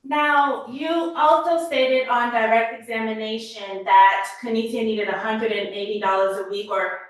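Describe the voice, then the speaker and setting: A woman's voice: a little high, steady tone, unhurried. A woman prosecutor cross-examining a witness in court with pointed, leading questions. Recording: very echoey courtroom audio.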